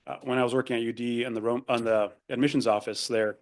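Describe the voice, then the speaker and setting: A man's voice: mid-range voice, steady tone, fast. A young man whose first language is Spanish, raised in Argentina, talking thoughtfully as a podcast guest with many fillers and restarts. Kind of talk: casual interview conversation.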